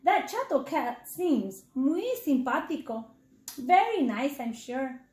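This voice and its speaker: A woman's voice: high-pitched, big pitch swings, slow, darkish tone, reverberant, full character voice. A woman voicing a friendly, trusting storybook father mouse, chatty and upbeat, with bits of Spanish slang.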